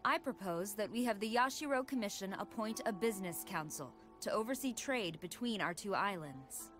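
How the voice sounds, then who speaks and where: A stern female military commander played by a woman in a video game. She speaks formally, with measured authority. Faint music sits behind her words. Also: mid-range voice, animated, fairly bright tone, natural voice. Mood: stern, businesslike. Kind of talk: scripted video game dialogue.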